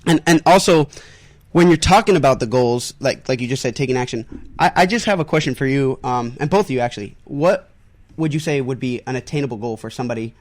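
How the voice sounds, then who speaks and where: Male radio co-host, mid-pitched, earnest, stumbles and repeats words. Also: fast. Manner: earnest, repeats words while thinking, many fillers. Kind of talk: radio talk show chat. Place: radio studio.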